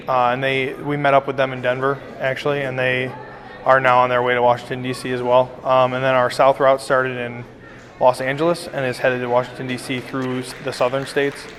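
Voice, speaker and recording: A man's voice: mid-range voice, fairly bright tone. A young man giving unscripted interview answers as a member of a charity team. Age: young adult. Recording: reverberant hall.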